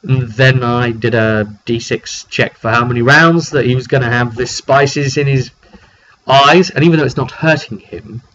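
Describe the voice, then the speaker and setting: A man's voice: medium pitch, normal speed, fairly bright tone. Man recounting a tabletop game, lo-fi recording. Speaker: game master. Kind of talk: informal recap of a tabletop roleplay session. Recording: dull lo-fi mic with background noise.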